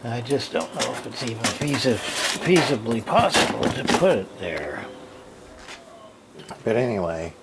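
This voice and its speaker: A man's voice: medium pitch, sing-song, measured pace, vocal fry, heavy background noise. A tired man casually showing off an old radio at his home workshop desk, thinking aloud with many fillers and restarts. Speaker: hobbyist radio repairer. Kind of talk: casual workbench show-and-tell.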